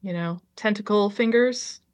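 A woman's voice: medium pitch, unhurried. A woman artist chatting casually as an interview guest, hesitant and full of ums, trailing off her sentences. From Liberia.

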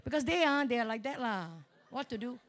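High-pitched Malaysian woman preacher. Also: a little fast. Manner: animated, colloquial, humorous, with local particles like lah and ah. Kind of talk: storytelling sermon with acted-out dialogue.

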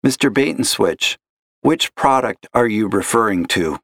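A man's voice: on the low side, expressive, a little slow, gravelly, a bit bright, no room sound, studio quality, exaggerated voice. A man voicing a polite, chipper customer service agent who stays patient with a difficult caller. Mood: chipper, strained. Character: upbeat young male customer service agent. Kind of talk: audio story reading.

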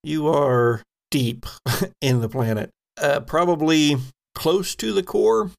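A man narrating a tabletop role-playing game as game master, in a measured, halting way as he thinks aloud. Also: fairly high pitch, creaky.

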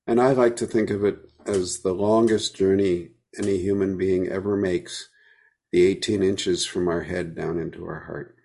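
A man leading a guided meditation, speaking gently with unhurried pauses between phrases. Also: low voice, fairly even intonation, vocal fry.

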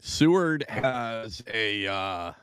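A man's voice: on the low side, animated, drawn-out words, fairly bright tone, smooth. A man co-hosting a podcast, blunt and crude, roasting the others in casual banter. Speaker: podcast co-host.

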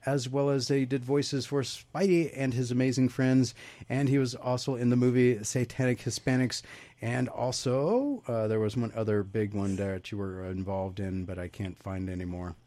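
A man hosting a talk radio show, asking his guest questions in a casual, halting way with lots of fillers. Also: medium pitch, flat delivery, dark tone, no echo.

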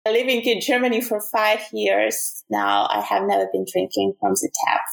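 A woman's voice: medium pitch, steady tone, normal speed, vocal fry, echoey room, low-quality recording. A woman from Ukraine with a Ukrainian accent, chatting as an interview guest, laughing often and restarting her sentences. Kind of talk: casual conversation.

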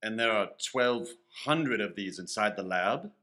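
A man playing an incredulous, formal official chief in a comedy radio play, hesitant and halting. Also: on the low side, lively intonation, pushed and bright.

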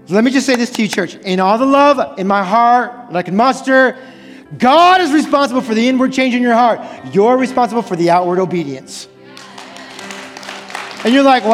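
A man preaching an impassioned evangelical sermon as a pastor, fervent and loudly exhorting, with emphatic repeated phrases. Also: very high voice. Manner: loud, near-screaming exhortation. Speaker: pastor preaching to a church congregation. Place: large church hall, live preaching. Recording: large reverberant hall with music underneath. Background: music playing under the preaching.

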